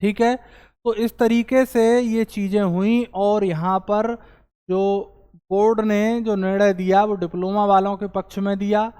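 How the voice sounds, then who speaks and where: Guy teacher lecturing students, smooth clean voice, big echoey hall sound, reads stuff out then explains it. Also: high voice, flat delivery, unhurried, dark tone. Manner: reads out passages then explains them step by step; poses questions and answers them himself. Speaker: coaching teacher. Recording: long hall reverb on the voice.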